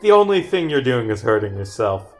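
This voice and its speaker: A domineering accuser from a visual novel, acted out by a man, scolding and theatrical. Every line is pitched as melodrama over faint game music. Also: sing-song, brisk, close to an everyday voice. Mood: cold scolding. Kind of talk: reading visual novel dialogue aloud.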